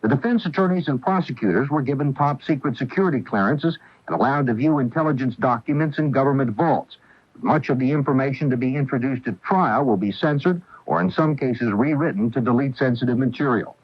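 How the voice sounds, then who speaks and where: A man's voice: mid-range voice, brisk, breathy edge, no echo. A man delivering a scripted news report as a correspondent, formal and factual, on an old AM radio recording. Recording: dull lo-fi 1980 AM radio.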